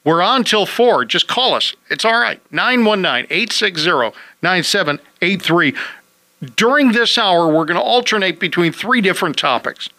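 A man's voice: sits high, sharp tone, no echo. A man hosting a home-improvement radio call-in show, chatting casually and cracking jokes. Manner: joking, wisecracking.

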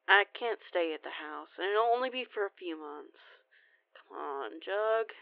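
A woman's voice: fairly low pitch, expressive, a little slow, creaky, no echo. A woman playing a cheeky, rambling young female werewolf cousin in an audio roleplay phone call, sheepish, folksy and a bit foul-mouthed. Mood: pleading. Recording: tinny band-limited phone line, very dry.

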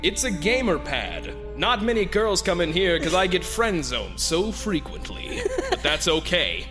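A performer voices a smug, boastful male hedgehog villain who rambles about gaming in a comic fan dub. The voice swoops wildly up and down at an unhurried pace, with loud music running underneath. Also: mid-range voice, very animated.

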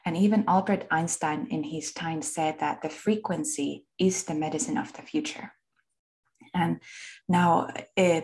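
Finnish-accented woman, deep voice w/ some fry, earnest teacher vibe, lots of uh and really really. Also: a little mellow. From Finland. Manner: earnest, repeats words for emphasis, many fillers. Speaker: frequency work teacher. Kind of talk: spoken introduction and teaching.

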